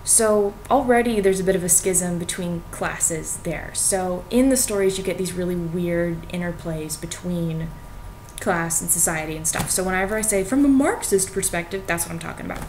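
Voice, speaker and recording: A woman's voice: lowish voice, normal speed, darkish tone, a little hiss or hum, poor audio. A young adult woman gives an informal explanatory talk to viewers in a conversational, self-deprecating way with colloquial asides. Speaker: student making educational videos.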